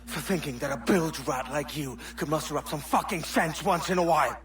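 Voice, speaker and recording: An actor playing a brash, defiant, ambitious pirate captain in a dramatic video game cutscene. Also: male voice, fairly high pitch, fairly quick, a bit bright, natural voice. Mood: bitter, scornful.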